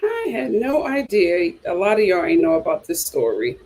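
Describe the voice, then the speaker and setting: A woman hosting a casual reality TV chat, reading out viewer comments in an animated, emphatic way. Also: on the low side, moderate pace, poor audio. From New York. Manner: animated and emphatic, lots of "you know". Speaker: host of a reality TV chat channel.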